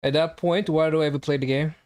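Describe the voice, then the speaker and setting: Teasing young male streamer, fairly high voice. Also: steady tone, fast talker, a little mellow, clean tone. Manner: joking, teasing, reacting to chat. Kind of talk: casual live-stream chat.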